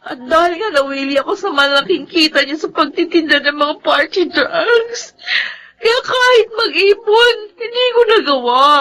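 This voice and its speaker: A grief-stricken young-sounding widow in a Filipino radio drama, crying in an extremely high voice. She has a Filipino accent and sounds helpless and despairing. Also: bright, forceful tone, rough recording.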